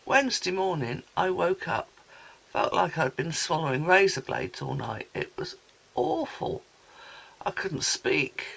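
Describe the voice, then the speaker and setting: A woman with a hoarse, gravelly voice from a sore throat, chatting in a rambling way as she shows off a craft haul. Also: low-pitched, pushed and bright, poor audio. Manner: chatty, rambling, many ums and asides. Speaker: craft vlogger. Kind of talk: casual product haul chat.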